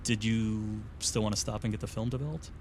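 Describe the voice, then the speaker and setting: A man's voice: lowish voice, fairly even intonation, fairly quick, a little mellow, a little hiss or hum, natural voice. An actor playing an easygoing, self-deprecating man in his thirties, talking casually and a little sheepishly in an audio drama. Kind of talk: naturalistic audio drama acting.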